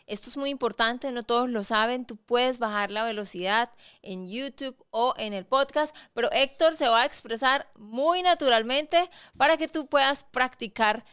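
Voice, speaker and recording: A woman from Colombia, a Spanish teacher hosting an interview, speaking in a friendly, clear teacherly way with a Bucaramanga Colombian accent. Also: on the high side, normal speed, few pauses, a bit bright. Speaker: podcast host and Spanish teacher. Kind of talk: conversational interview.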